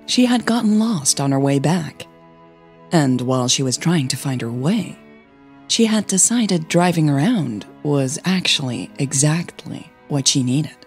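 A woman narrating scripted fiction in a soft, breathy voice. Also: low voice, animated, a little slow, faint music behind.

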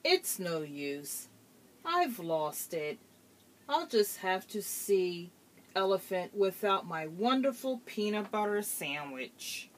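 Lady doing a fretful forgetful rhino from a kids storybook, slow and expressive, voice goes up and down a lot. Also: mid-range voice, slight background noise. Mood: dejected. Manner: deliberate, expressive children's storybook delivery. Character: forgetful, fretful rhinoceros searching for his lunch.

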